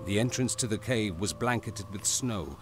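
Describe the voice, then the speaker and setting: A man narrating a scripted audio drama in a grave, deliberate, dramatic way. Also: fairly low pitch, lively intonation, over music.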